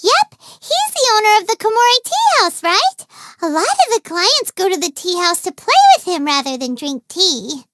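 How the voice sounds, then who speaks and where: A woman voicing a tiny, excitable, naive fairy companion in a video game, with a squeaky childlike voice and bubbly manner. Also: moderate pace, few pauses, slight background noise, exaggerated voice. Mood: eager. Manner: bubbly, speaks of self in third person. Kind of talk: video game character dialogue.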